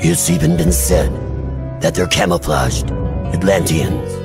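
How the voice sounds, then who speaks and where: Radio drama announcer, bottomless bass, unhurried, theatrical, ominous, prominent music bed. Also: male voice. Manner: portentous, theatrical, deliberate. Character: ominous male announcer of a macabre old-time radio serial. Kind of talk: 1940s radio drama narration.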